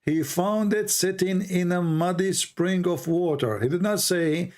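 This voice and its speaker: Combative Arabic-accented man, fairly high voice. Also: a little slow, a little mellow. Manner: combative, insistent, repeats words for emphasis. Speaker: debate host. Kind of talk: heated religious debate.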